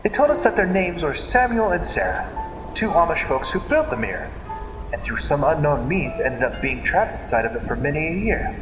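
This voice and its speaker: A man's voice: on the high side, big pitch swings. Theatrical male storyteller in a reverberant hall. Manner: theatrical, deliberate. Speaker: narrator of a dream story series. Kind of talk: dramatic scripted storytelling in the manner of old-time radio serials. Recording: echoey reverberant hall, loud music behind. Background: music.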